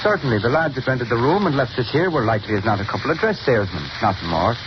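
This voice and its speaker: A man's voice: mid-range voice, animated, quick pace, lightly acted. A man with an Irish accent playing a chatty police lieutenant in a 1930s radio drama. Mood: gruff. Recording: dull old radio broadcast with hiss.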